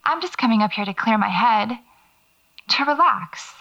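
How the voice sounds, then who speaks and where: An actress playing a pensive, wry horror writer, musing reflectively into a taped diary in an audio drama. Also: female voice, fairly high pitch, lively intonation, normal speed, creaky, bright, forceful tone, full character voice. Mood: wry, casual. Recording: slightly dull cassette diary sound.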